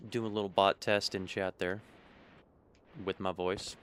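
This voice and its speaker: Michigan guy on a game stream, kinda low voice, laid back, faint hiss behind. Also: measured pace. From Michigan, USA. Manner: relaxed, musing, talks to chat between game moves. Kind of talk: casual gameplay stream chat.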